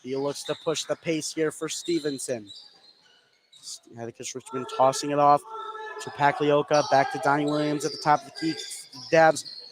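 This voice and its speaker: A man's voice: on the high side, little pitch movement, moderate pace. A man giving live radio play-by-play of a basketball game, following the action in clipped running phrases. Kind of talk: live radio sports commentary. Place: noisy indoor basketball gym. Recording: live broadcast with clear background noise.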